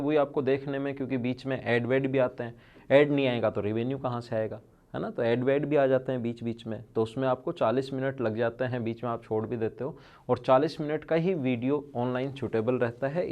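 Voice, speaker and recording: A man's voice: medium pitch, a little mellow, muffled recording. A male maths teacher with a strained throat, speaking earnestly and directly to his students in an online video lesson.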